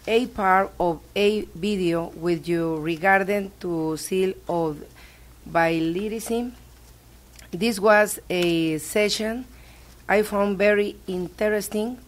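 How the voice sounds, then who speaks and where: Slow, hesitant low-voiced woman at a board meeting. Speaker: school board member.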